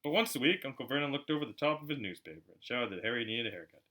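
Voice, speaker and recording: A man with a very bright, forward voice reads a story aloud. His pitch moves widely and animatedly at a middle register, in the informal way of a home audiobook reader. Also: animated, rapid-fire. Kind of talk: animated storybook narration with chatty asides.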